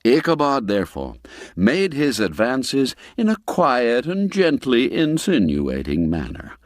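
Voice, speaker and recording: A man narrating a classic story in a theatrical, expressive literary storytelling style. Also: medium pitch, unhurried, no echo, clean studio sound. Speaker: audiobook narrator.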